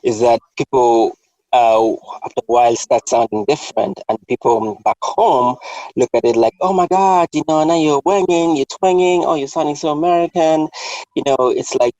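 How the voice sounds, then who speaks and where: A man's voice: a little high, normal speed, mellow, no echo, highs cut off. A man hosting a casual discussion over a remote call. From Kenya. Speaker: host.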